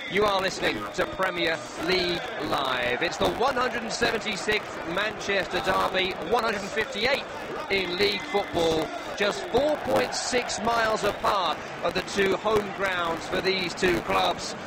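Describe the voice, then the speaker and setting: A male sports radio presenter with a high voice. He hosts live football coverage, setting up the match for listeners. Loud stadium ambience and noise sit behind him throughout. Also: unhurried, vocal fry, a loud crowd. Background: loud outdoor stadium ambience.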